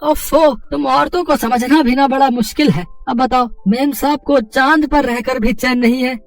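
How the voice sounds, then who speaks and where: A simple, stubborn village husband from a storybook tale, played by a man. His voice is extremely high, excitable and stammering, often complaining. It sounds a little distant, with music behind. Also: normal speed. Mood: exasperated, worried.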